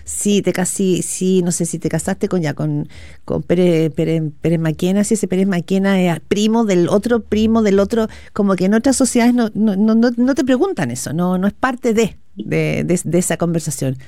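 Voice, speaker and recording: A woman's voice: medium pitch, normal speed, dark tone, dry recording, clean studio sound. A woman with a Chilean accent, speaking conversationally as a radio interview host.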